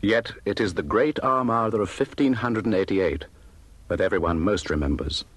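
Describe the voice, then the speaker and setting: A man reading a scripted history narration in a measured, formal manner with dramatic phrasing. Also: on the low side, husky, dry recording.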